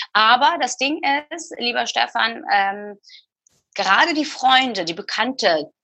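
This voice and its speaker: A woman's voice: medium pitch, pushed and bright, breathy edge, no echo. A middle-aged woman from Turkey, speaking earnestly and confessionally as an interview guest, often searching for her words. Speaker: guest, former TV presenter. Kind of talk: personal interview.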